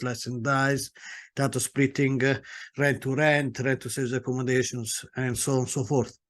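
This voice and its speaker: A middle-aged man with an Italian accent talks through a webinar over a video call. He hesitates often and restarts his sentences, with a voice of middling pitch. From Italy. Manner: hesitant, many fillers and restarts. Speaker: guest speaker, property solicitor. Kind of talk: webinar talk.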